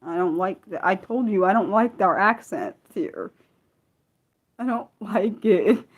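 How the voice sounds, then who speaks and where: An American woman hosting a casual livestream, rambling and laughing often, trailing off with self-deprecating asides and swinging from loud bursts to soft mumbles. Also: medium pitch, a little slow, husky. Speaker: livestream host. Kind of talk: casual unscripted livestream chat, answering viewers.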